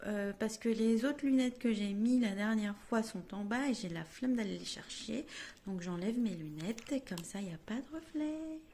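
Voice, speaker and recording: A woman vlogger chatting at home to her camera, telling a story in a self-mocking, lively way. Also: medium pitch, moderate pace, a little hiss or hum.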